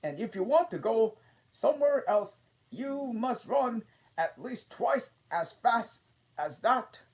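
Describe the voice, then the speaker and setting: A man voicing an impatient, bossy queen in a storybook reading, with shrill, loud commanding shouts. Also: big pitch swings, slow pace, full character voice. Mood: brisk commanding. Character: bossy shrieking queen, grown woman, impatient.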